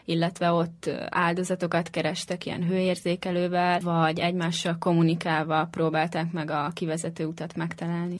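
A woman's voice: on the low side, completely flat delivery, few pauses. A young woman answering interview questions as a student developer. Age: young adult.

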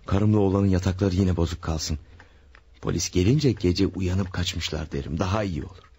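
A gloating family murderer. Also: male voice, sits low, normal speed, dark tone, breathy edge. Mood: scheming. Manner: muttering to himself, gloating. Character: cold-blooded man murdering his family. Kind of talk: radio drama acting. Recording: old radio play with faint hiss.

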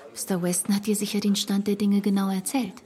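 An actress playing a kindly, motherly woman in a radio play, gentle and cautious, confiding her worries. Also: mid-range voice, level tone, quick pace, close to an everyday voice. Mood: doubtful.

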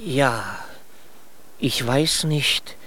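An actor in a children's radio play voicing a proud but dreamy, scatterbrained stork with a good-natured, musing manner. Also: male voice, mid-range voice, expressive, very slow, bright, forceful tone, no room sound, heavily acted. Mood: doubtful.

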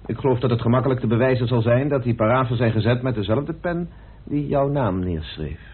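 Husky, deliberate accusing sleuth. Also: male voice, mid-range voice, animated. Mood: methodical, pressing. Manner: deliberate, accusing, theatrical pauses. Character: methodical male amateur detective confronting suspects, deliberate and insistent. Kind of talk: radio play acting in Dutch. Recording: muffled old radio drama recording.

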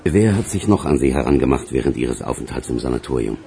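Pompous senior detective for radio crime drama: low, lively intonation, formal, lecturing, condescending. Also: male voice, fast talker, gravelly, full character voice. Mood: formal probing. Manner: formal, pedantic, interrogating. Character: pompous, condescending veteran male police investigator.

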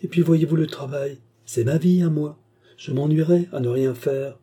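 A devoted older maidservant from a storybook, voiced by a man, fussing protectively over her mistress. The voice is raised in pitch yet soft and dark, with a husky grain, and it exclaims readily in deferential protest. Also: moderate pace. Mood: devoted, earnest. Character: devoted fifty-year-old peasant maidservant, fussy and indignant. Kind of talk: audiobook character dialogue.

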